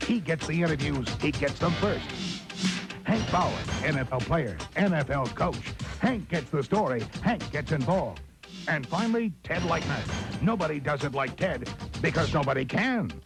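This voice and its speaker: Loud music drives under a man voicing a sports promo. His voice is fairly high but gravelly, and he lands short, clipped phrases with emphasis. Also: animated, normal speed. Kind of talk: scripted punchy promo.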